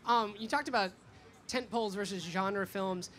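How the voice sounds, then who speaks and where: A woman's voice: medium pitch, moderate pace. A woman interviewing a guest in a casual, conversational way, feeling out her phrasing with lots of filler words. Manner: hesitant phrasing, frequent "like". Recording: noisy convention event background.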